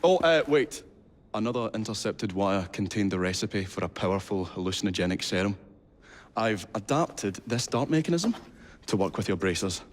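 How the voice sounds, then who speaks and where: A man's voice: on the low side, expressive, moderate pace, big-room sound, natural voice. An actor playing an earnest, modest Victorian inventor in a video game, courtly and a little hesitant in his speech.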